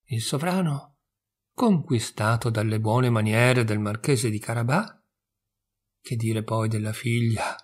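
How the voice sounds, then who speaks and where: Male storyteller, mid-pitched, lively fairy-tale narration. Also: takes it slowly, breathy edge. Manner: expressive, animated narration.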